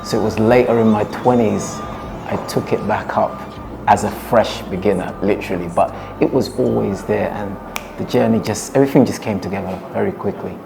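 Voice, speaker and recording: Low-voiced man explaining his music. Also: vocal fry, background noise. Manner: measured, explanatory, slows down for emphasis. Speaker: musician, one half of a duo. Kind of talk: relaxed interview talk.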